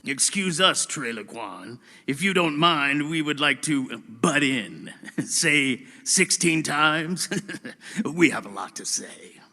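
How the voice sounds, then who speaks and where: A man acts out a talking bullet in an audio drama, cocky and callous, boasting and wisecracking. The voice is a slightly high male one, forceful and sharp, and takes its time. Long reverb surrounds it. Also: animated, slow pace, full character voice. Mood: mocking, callous.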